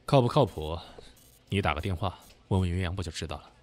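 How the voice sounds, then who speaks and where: Man playing very low-voiced, needling worldly businessman. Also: fast talker, lightly acted. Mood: knowing, confiding. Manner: knowing, needling. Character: insinuating, worldly senior businessman giving warnings. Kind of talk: Chinese audio drama acting.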